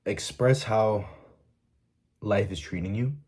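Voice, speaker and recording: A man's voice: fairly low pitch, takes it slowly, darkish tone, breathy edge. A young man giving blunt, profane self-help advice to his viewers in a casual monologue, stopping often to ask "right?".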